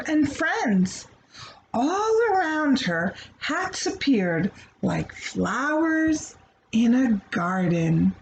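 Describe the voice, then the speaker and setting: A woman reading a picture book aloud to children in an expressive, playful way, with asides to her young listeners. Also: mid-range voice, a little hiss or hum, poor audio. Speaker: children's storybook reader.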